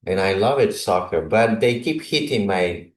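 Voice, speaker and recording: This is a man born in Brazil with a low, deep voice, talking informally to a congregation before he preaches. He laughs often and keeps checking in with "right?" and "you know". The sound carries the echo of a large hall, picked up from some distance away. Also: animated, normal speed. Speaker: visiting preacher. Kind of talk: casual chat with a congregation before a sermon. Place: church hall. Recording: echoey hall, mic from a distance.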